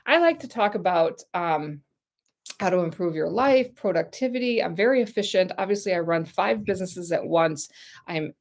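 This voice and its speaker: A woman's voice: on the low side, lively intonation, moderate pace. A middle-aged American woman chatting casually on a livestream, rambling and talking straight to her viewers. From United States. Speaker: YouTube channel host.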